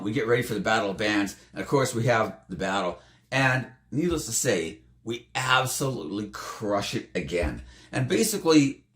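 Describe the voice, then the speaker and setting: A slightly hoarse man telling a tabletop game story in a casual, animated way. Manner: animated, jumps up in pitch for emphasis. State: slightly hoarse, losing his voice. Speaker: tabletop game player recounting a campaign. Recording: roomy, echoey room.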